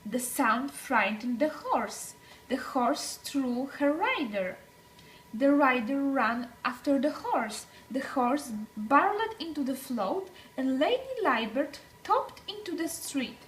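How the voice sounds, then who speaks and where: A woman reads a children's story at a very unhurried pace. Her voice swings widely in pitch as she stresses words for dramatic effect. There is faint noise behind her. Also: animated, low-quality recording. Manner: deliberate, dramatic phrasing with emphasized words. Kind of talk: expressive picture-book read-aloud for young children.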